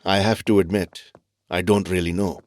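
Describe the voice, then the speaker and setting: Casting: male actor as calm mentor doctor, low voice, measured and explanatory, dry sound. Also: no room sound, lightly acted. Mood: reflective, explaining. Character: thoughtful, reassuring male doctor and family mentor. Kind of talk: audio drama acting.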